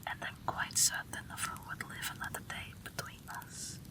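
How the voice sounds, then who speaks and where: A woman playing a gentle, wry, protective night witch in an intimate audio roleplay, speaking softly and breathily, often near a whisper. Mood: quietly resolute. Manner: slow, soft, tender reassurance.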